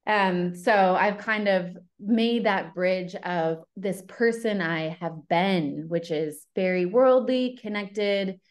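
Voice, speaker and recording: A woman's voice: medium pitch, slow pace, few pauses. A woman who works as a love coach, speaking as an interview guest in an earnest, enthusiastic, rambling way. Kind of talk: interview guest, casual conversation.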